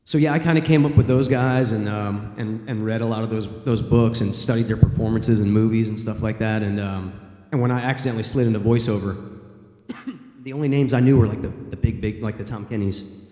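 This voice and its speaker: A man's voice: fairly low pitch, fairly quick, mellow. A man voice actor on a convention panel, answering questions in a loose, rambling way with many ums and restarts. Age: adult, former college theater student. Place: large convention hall. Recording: echoey convention hall.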